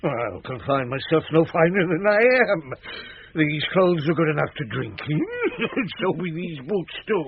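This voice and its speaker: On a dull, noisy vintage radio recording, a boozy British knight with a fairly high male voice. He blusters flippantly through a Shakespearean comedy, declaiming his excuses with careless swagger. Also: very animated, unhurried. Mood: blustering, flippant. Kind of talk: 1970s BBC radio Shakespeare comedy acting.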